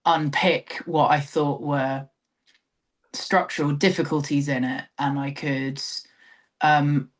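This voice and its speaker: A woman's voice: sits low, fairly even intonation, takes it slowly. Thoughtful British woman in conversation. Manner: thoughtful, hesitant, trailing phrases with frequent hedging. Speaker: theatre director, interview guest. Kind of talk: reflective interview conversation.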